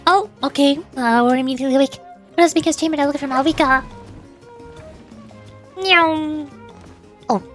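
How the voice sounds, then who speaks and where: A woman chats casually on a game livestream. Her voice is high, with a playful, teasing way of talking. Light music runs in the background. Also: normal speed, long pauses. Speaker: streamer.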